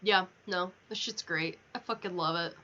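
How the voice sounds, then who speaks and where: Gamer lady on stream, bright voice, swears a lot, chats at the game characters, bit of noise behind her. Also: fairly low pitch, moderate pace, lo-fi sound. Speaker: livestreamer playing a video game. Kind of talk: casual gaming livestream chatter.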